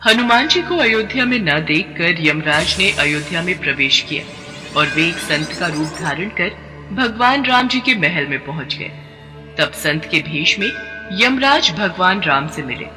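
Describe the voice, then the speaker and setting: A man narrating a devotional story in a steady, expressive way. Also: sits high, unhurried. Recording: long reverb over background music.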